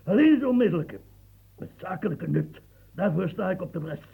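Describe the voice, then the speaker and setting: A man's voice: sits high, animated, fairly quick, heavily acted. An actor playing an aging French statesman near death, feverish and rambling, stammering as he talks. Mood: feverish ranting. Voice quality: gravelly, stammering. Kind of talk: radio play acting. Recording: tinny lo-fi old radio recording.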